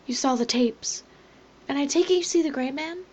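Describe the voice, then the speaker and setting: A woman's voice: sits high, normal speed, a little mellow, a little hiss or hum, lightly acted. A woman playing a cold, ominous figure who is formal, composed and politely apologetic in a horror audio drama, on a slightly dull microphone.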